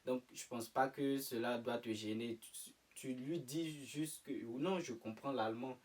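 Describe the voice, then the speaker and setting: A man's voice: mid-range voice, measured pace, far from the mic. A young man from Côte d'Ivoire, answering interview questions in a casual, hesitant way with many fillers and restarts. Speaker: interview guest.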